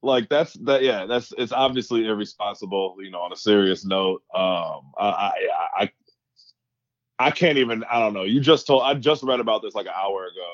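A man's voice: fairly low pitch, moderate pace, no echo. Laid-back slangy male co-host, lo-fi call. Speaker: podcast co-host. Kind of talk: casual sports talk. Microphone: remote call.

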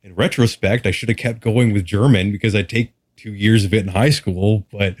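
A man's voice: on the low side, steady tone, normal speed, darkish tone, dry recording, studio quality. A man from Texas chatting casually as a podcast guest, with a candid, wry, casually profane way of talking. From Texas, United States. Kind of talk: casual interview chat.

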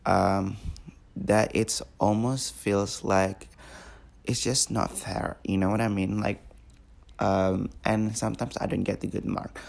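Gravelly low-voiced teen podcaster. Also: male voice. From Indonesia. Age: teens. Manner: rambling, many fillers and repeated words. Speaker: podcast host. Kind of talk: casual solo podcast.

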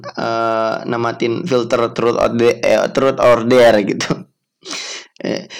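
Chatty young man podcasting. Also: medium pitch. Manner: chatty, self-mocking, frequent fillers and laughs. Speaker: podcast host. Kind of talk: casual podcast monologue.

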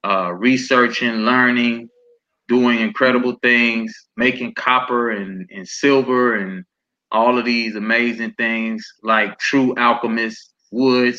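A man co-hosting a podcast, introducing guests in a relaxed, casual way. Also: mid-range voice. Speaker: podcast co-host. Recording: dull lo-fi line.

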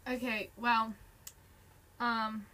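A woman's voice: on the high side, little pitch movement, drawn-out words, sharp tone, a little hiss or hum. A woman co-hosting a casual podcast, chatting in a rambling, playful way as she hops between silly topics. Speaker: podcast co-host. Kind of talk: casual chat.

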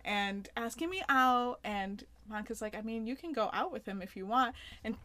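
A woman's voice: a little high, animated, moderate pace, sharp tone. Woman, podcast co-host, casual recap chat, quotes the characters. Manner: chatty, acts out characters' lines in quotes.

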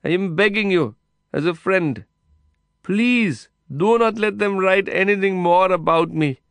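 A man voicing a proud, desperate male prisoner, pleading loudly and insistently through a fence. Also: high-pitched, lively intonation, normal speed, smooth, dry recording, pitched above the performer's own voice. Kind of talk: audiobook character voice.

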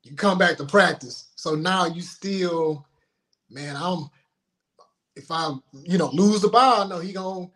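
A man talks casually about his own life. He speaks informally and colloquially, often halting and repeating phrases as he goes, like a guest sharing stories in an interview. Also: high-pitched, bright, forceful tone. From Houston, Texas, United States. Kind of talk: casual personal storytelling.

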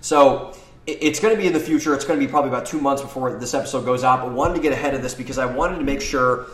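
A man's voice: medium pitch, very fast, fairly bright tone. A young man hosting a solo podcast, eager and rambling with restarts, sounding nasal as he recovers from a cold. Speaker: podcast host. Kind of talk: solo podcast monologue, partly reading his essay. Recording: echoey room.

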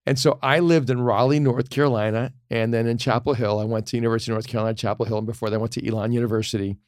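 A man's voice: medium pitch, steady tone, rapid-fire, dry recording, clean studio sound. A middle-aged man, a pastor who teaches communication, telling earnest, reflective stories in a casual podcast conversation.

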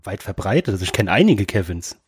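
Skeptical co-host, man, low and husky voice, casual chat. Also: sing-song, fast, no echo, clean studio sound. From West Berlin, Germany. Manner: skeptical, asks follow-up questions. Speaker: podcast co-host.

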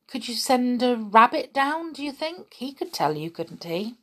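A woman's voice: on the high side, big pitch swings, a little slow, pitched above the performer's own voice. A woman voicing a serious, earnest young boy in a storybook reading, thoughtful in manner.

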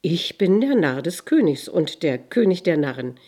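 A woman voicing a playful male court jester who talks in an excited, sing-song way in a spoken fairy tale. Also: on the low side, normal speed.

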